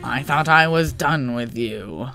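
A man's voice: mid-range voice, measured pace, gravelly, over music, pitched below the performer's own voice. A man playing a cocky, quarrelsome young sock puppet with a sarcastic, theatrical manner. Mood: menacing.

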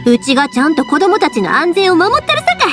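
An actress playing a cheerful young fireworks-shop owner with a Kansai accent, lively and chatty. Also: female voice, very high-pitched, fast talker. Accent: Kansai Japanese. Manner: lively, chatty, warm teasing. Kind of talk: game voice acting. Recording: game audio with music behind.

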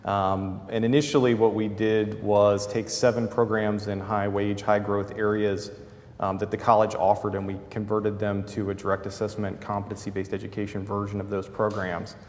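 A man in his thirties with a low, boomy voice talks through his project on a conference panel, filling pauses with "um". His pitch stays flat and even. The sound carries a long hall reverb. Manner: gestures broadly while explaining, many fillers. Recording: hall reverb, faint room noise.

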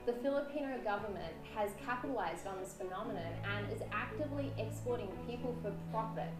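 A woman's voice: on the high side, animated, fast. A young woman presenting a scripted video narration in an earnest, polished way. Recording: roomy playback of video with music. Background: clear background music.

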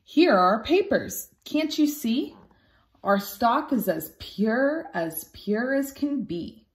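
A woman's voice: on the high side, very animated, takes it slowly. A woman voicing a snooty, pompous purebred dog in a children's storybook, talking down condescendingly. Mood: boastful. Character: snooty purebred show dogs, haughty poodle. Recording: roomy home recording.

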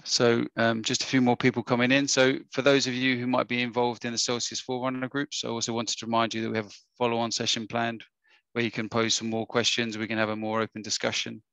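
A man with a husky, gravelly voice welcomes people to an online talk, pausing often with fillers and restarting his sentences. His tone is bright and fairly flat in pitch, and the sound is dry and close, as if on a call. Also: dry recording. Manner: hesitant, many fillers and restarts. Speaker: webinar host. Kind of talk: casual webinar welcome. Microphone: webcam or headset on a video call.

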